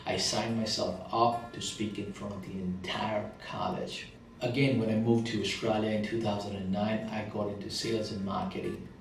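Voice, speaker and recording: A man's voice: on the low side, level tone, normal speed, creaky, recorded from a distance, a little hiss or hum. A man with a thick Indian accent, giving an earnest motivational monologue about his past struggles, repeating phrases for emphasis. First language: not English. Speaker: motivational speaker. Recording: very echoey room, voice off-mic, soft guitar music behind.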